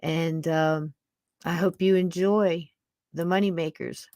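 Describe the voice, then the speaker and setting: Low-voiced, folksy woman in her sixties. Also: steady tone, slow pace, a little mellow, no echo, clean studio sound. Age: 60s. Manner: affectionate, folksy, rambling. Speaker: podcast host. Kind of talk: casual chat to live listeners.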